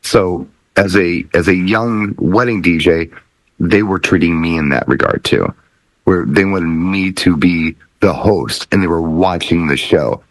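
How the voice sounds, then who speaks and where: A man's voice: low-pitched, unhurried, dry recording, low-quality recording. A middle-aged man who works as a DJ, chatting casually as a panelist on a talk show.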